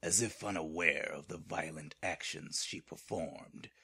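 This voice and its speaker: A man playing a grave, ominous narrator of a horror audio drama, theatrical and gravelly. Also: extremely low pitch, measured pace, sharp tone, full character voice.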